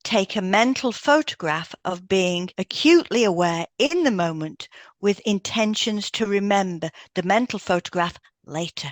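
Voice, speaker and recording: A woman's voice: medium pitch, animated, normal speed, no room sound, studio quality. A woman co-hosting a podcast, reading out step-by-step instructions from a script with brief asides. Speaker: podcast co-host, hypnotherapist.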